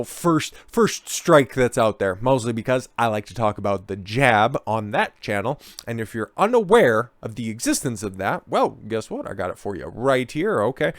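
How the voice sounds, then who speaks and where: A man hosting his own channel, a bit hoarse and raspy from being under the weather, talking in a rambling casual monologue that keeps ending on "okay?". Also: medium pitch, no room sound. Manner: rambling, tags sentences with "okay?". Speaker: channel host.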